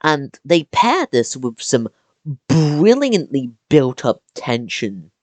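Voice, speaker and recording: Video-essay narrator, mid-pitched, lively swings in pitch, dramatic emphasis, casual asides, close dry recording. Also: slow pace, no echo.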